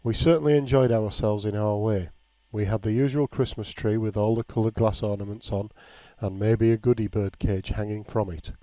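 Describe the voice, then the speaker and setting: A man reading a nostalgic written memoir aloud in a measured, plain storytelling way. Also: on the low side, fairly even intonation. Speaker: reader of a written local-history account. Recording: dull, lo-fi, echoey room.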